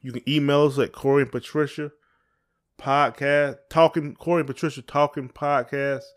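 A man's voice: on the high side, fairly even intonation, normal speed. Southern guy doing casual podcast chat, folksy, playful, kinda rambles. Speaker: podcast co-host.

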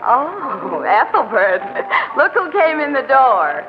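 An actress playing a spirited newspaper sidekick in 1940s radio style, brisk and teasing. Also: female voice, high voice, very animated, exaggerated voice. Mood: teasing, bright. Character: spirited, quick-witted female newspaper sidekick. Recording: dull lo-fi old radio broadcast, music.

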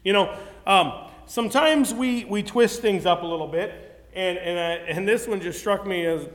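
A man's voice: sits high, moderate pace, a bit bright. A man preaching a sermon to a congregation, earnest and conversational, often restarting his sentences. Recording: long hall reverb.

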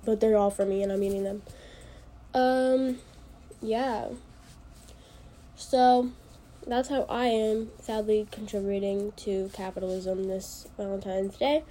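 A woman's voice: mid-range voice, fairly even intonation, slow, pauses often, dark tone, slight background noise, rough recording. A young woman hosting a casual solo podcast, congested from allergies, rambling and joking at her own expense with lots of fillers. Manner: rambling, self-deprecating, full of fillers. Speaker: podcast host.

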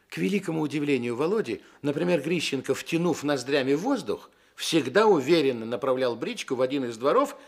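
A man narrating a literary story for a radio play, with wry, measured and expressive phrasing. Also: medium pitch.

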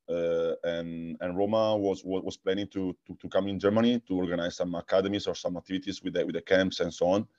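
A man with an Italian accent, a guest answering interview questions, speaking haltingly with many repeated words and restarts. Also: low voice, warm tone, dull audio. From Italy. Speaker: guest, futsal coach and technical director.

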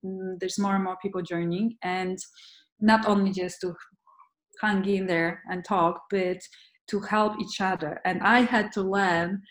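Woman, from Poland, interview guest, earnest, halting restarts, roomy echo. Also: mid-range voice, steady tone. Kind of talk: conversational interview.

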